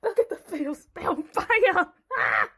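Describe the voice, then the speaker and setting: A woman's voice: very high-pitched, huge pitch swings, takes it slowly, vocal fry, pushed and bright, raised voice, pitched above the performer's own voice, heavily acted. A woman voicing panicky, angry townspeople with frantic shrieks in a Bible storybook dramatization. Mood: terrified.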